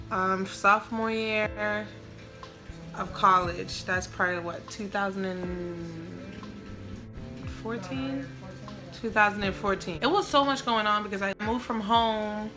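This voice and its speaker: A young woman from the Bahamas talks straight to camera, loose and chatty, often sinking into a soft murmur. Her voice is bright and ringing, mid-pitched. Faint music plays underneath. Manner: chatty, loose, many "like" fillers, drops to a soft low murmur at times. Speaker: vlogger. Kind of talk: casual storytelling to camera.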